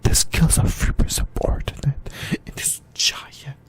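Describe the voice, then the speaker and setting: An ASMR host of unclear sex, whispering a scripted explainer in a breathy, halting way, often repeating and correcting phrases. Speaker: host of an anime ASMR channel.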